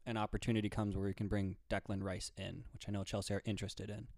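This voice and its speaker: A man's voice: lowish voice, flat delivery, quick pace, darkish tone, no echo, clean studio sound. A man co-hosting a casual sports podcast, explaining his views confidently with fillers like "right" and "like". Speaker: podcast co-host.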